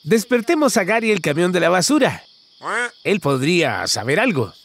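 A man's voice: high voice, big pitch swings, moderate pace, fairly bright tone, slight background noise. A man narrating a children's cartoon in an exaggerated, singsong way, talking to the characters.

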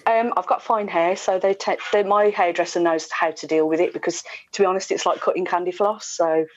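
Low-voiced woman, slightly dull audio. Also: fairly even intonation, brisk. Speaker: radio presenter, guest. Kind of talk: casual interview chat. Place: indoors at home. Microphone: earphones on a video call.

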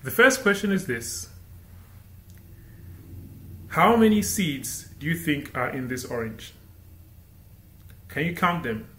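A young man from Ghana giving an animated inspirational sermon as a guest speaker, asking the listeners rhetorical questions. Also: on the high side, slow pace, long pauses. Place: indoor room against a plain wall. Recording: roomy echo.

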